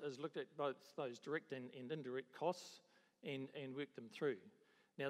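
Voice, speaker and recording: A middle-aged man with a New Zealand accent giving a conference talk on a handheld mic, halting, with many restarts and dry understatement. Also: mid-range voice. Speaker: agricultural economist presenting at a conference. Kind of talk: conference presentation. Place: large conference hall. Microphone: handheld microphone. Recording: reverberant conference hall.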